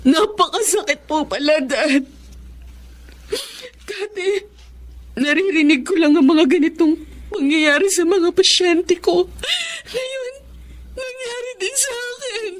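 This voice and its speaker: High-voiced, measured woman doctor, Filipino accent. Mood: anguished, tearful. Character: professional female doctor, composed but wounded betrayed wife. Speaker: doctor character in a drama. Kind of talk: dramatic Filipino audio drama acting.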